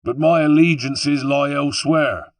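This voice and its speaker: A man's voice: mid-range voice, lively intonation, unhurried, vocal fry, fairly bright tone, no echo, voice pushed up, heavily acted. A man voicing a taunting, middle-aged West Country villain with a wheezy, breathless voice in an audiobook. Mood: sneering defiance. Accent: West Country English. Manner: taunting, contemptuous.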